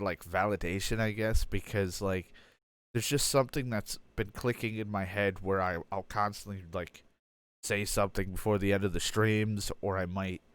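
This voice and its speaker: A young man with a low-leaning voice speaks through a badly stuffed-up nose. He rambles in a candid, self-deprecating way, circling back with fillers and restarts as he talks through his own feelings. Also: no room sound. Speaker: streamer. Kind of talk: candid personal update, casual talk.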